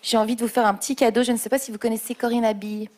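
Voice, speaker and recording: Woman radio host, slightly high voice, upbeat, reads a poem. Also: level tone, very fast, a little mellow. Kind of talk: live radio show hosting, reading poetry. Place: radio studio with live audience.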